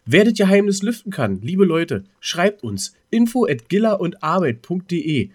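A man's voice: high voice, sing-song, normal speed, a little mellow. A man co-hosting a podcast in casual, joking banter with informal, colloquial speech. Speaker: podcast co-host.

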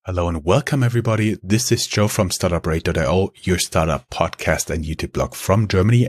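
A man's voice: low voice, breathy edge, no room sound. A man hosting a podcast interview, introducing the episode and his guest with an upbeat, slightly stumbling delivery. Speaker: host.

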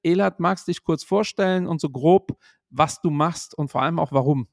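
Higher-voiced man hosting a podcast. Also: moderate pace, vocal fry, no echo, clean studio sound. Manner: upbeat greetings, many fillers. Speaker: host. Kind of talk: podcast chat. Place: home office.

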